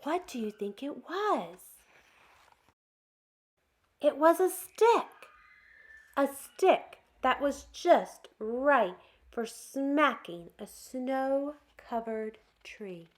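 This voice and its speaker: Slow, lilting, high-voiced woman reading to children. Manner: expressive, drawn-out words, asks listeners questions. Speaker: children's storytime reader. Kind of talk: animated read-aloud for young children.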